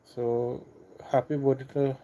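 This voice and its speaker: A man from Trinidad with a Trinidadian accent, hosting a casual live stream and chatting with viewers in a halting, repetitive way. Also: medium pitch, little pitch movement, warm tone, low-quality recording. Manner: halting, repeats himself, apologetic then defiant. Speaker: livestream host.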